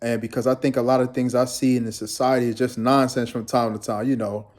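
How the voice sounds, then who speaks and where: A man's voice: mid-range voice, flat delivery, a little fast, darkish tone. A young man from Guyana, a fitness trainer, talking casually and rambling to his viewers in a laid-back vlog.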